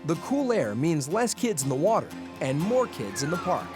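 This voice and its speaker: Lively male documentary narrator. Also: on the high side, normal speed. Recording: music bed under voice-over.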